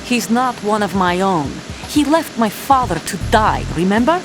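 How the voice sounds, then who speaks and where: A woman's voice: medium pitch, lively intonation, moderate pace, close to an everyday voice, low-quality recording. Female game mercenary played by an actress: hot-headed, bitter, mid register, music underneath. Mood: bitter, defiant. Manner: bitter, defiant. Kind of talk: dramatic video game acting.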